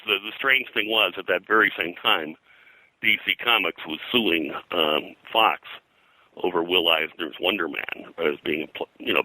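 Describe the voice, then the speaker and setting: Deep, forceful older man, halting delivery. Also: dry recording, rough recording. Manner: halting, discursive, scholarly detail. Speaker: guest, comics and pulp fiction historian. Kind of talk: interview conversation, recounting history.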